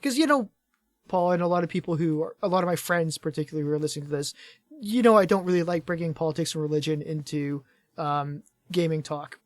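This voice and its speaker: A man's voice: a little high, fast talker, dry recording, studio quality. A man co-hosting a casual gaming podcast, rambling and chuckling as he talks, his voice tired from hours of talking. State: tired voice starting to fade after hours of talking. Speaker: podcast co-host.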